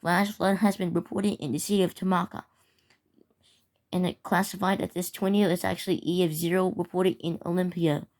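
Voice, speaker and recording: A woman's voice: lowish voice, flat delivery, fairly quick, darkish tone. A woman delivering a scripted emergency warning announcement as an alert announcer.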